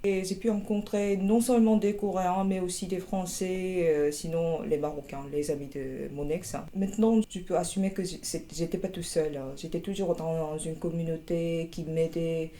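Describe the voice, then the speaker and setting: Young Korean woman, Korean accent, deep mellow tone, hesitant and wry interviewee. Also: steady tone, moderate pace, few pauses. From South Korea. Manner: hesitant, many fillers and restarts, wry humor. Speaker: interviewee, master's student. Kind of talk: interview answers.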